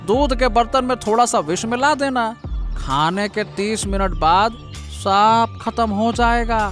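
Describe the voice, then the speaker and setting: A man playing a callous younger brother who schemes in a cartoon moral story, speaking haltingly. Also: high-pitched, fairly bright tone, faint music behind. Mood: dismissive. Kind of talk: Hindi cartoon story dialogue.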